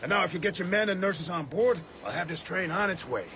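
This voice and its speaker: An actor playing an earnest, helpful railway superintendent in a radio play, with a brisk, folksy manner. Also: male voice, high-pitched, expressive, music in the background. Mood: concerned, helpful. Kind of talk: radio drama acting. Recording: noisy radio drama station ambience.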